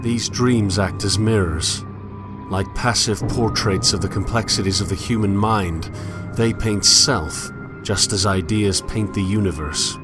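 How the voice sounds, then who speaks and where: An actor playing a brooding, philosophical loner in a video game, musing introspectively in formal, literary phrases. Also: male voice, on the low side, unhurried, close to an everyday voice. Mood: solemn, introspective. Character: brooding, philosophical male loner narrating his wanderings. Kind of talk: scripted first-person game monologue. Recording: long echoing reverb under music.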